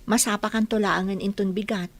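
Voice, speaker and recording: A woman playing a gentle, practical bride-to-be in an Ilocano radio drama, speaking in an affectionate, fussing way. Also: mid-range voice, fairly even intonation, brisk, close to an everyday voice. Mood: concerned, caring.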